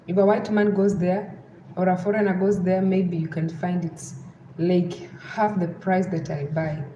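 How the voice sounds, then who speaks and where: A young woman with a Western Kenyan accent hosts a casual livestream, her voice sitting slightly low. She loops back again and again to pleas for likes and subscribers. The sound is roomy and echoey, with clear background noise. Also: level tone, a little slow, mellow, highs cut off. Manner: repeats pleas to subscribe over and over. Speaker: livestream host.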